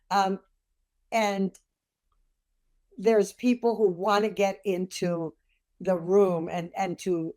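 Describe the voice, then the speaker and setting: A woman's voice: mid-range voice, a little mellow. Woman host asking interview questions. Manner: friendly, stumbling questions with fillers.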